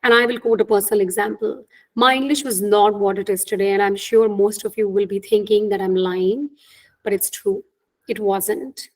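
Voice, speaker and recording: A woman's voice: mid-range voice, fairly even intonation, normal speed, a little mellow, rough recording. Indian woman coaching on interviews. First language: Hindi. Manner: earnest, frequent "right?" tag questions. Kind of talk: webinar talk on job interviews.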